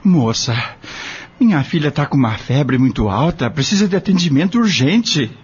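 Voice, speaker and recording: Man acting an earnest, animated Brazilian father. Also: on the high side, moderate pace, husky, lo-fi sound. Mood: worried. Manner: expressive, earnest. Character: stubborn, anxious young father and farm worker. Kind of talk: audio drama acting in Portuguese.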